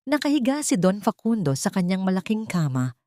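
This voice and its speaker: A woman's voice: mid-range voice, sing-song, a little fast, mellow, no echo, clean studio sound. A woman narrating an audio drama as dramatic scripted storytelling.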